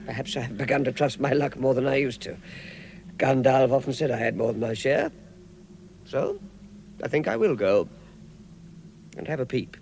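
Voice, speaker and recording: Fussy, animated hobbit. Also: male voice, mid-range voice, normal speed, creaky, long pauses. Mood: indignant, resolute. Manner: flustered, indignant, polite, hesitant. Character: fussy, nervous yet plucky middle-aged male hobbit. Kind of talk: vintage radio drama. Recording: faintly noisy old radio recording.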